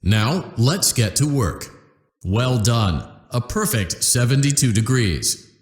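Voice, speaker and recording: Glib corporate game announcer. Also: male voice, sing-song, slow. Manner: upbeat, sales-pitch polish. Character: cheery male corporate training announcer, glib. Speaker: company announcer. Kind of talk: scripted game voice lines. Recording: roomy echo.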